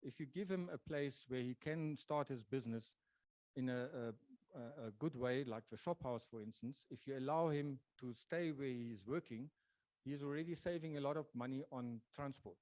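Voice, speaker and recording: A man giving a public lecture presentation, talking in a thoughtful way and pausing often with fillers and restarts. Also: medium pitch, a little mellow, no room sound. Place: lecture venue.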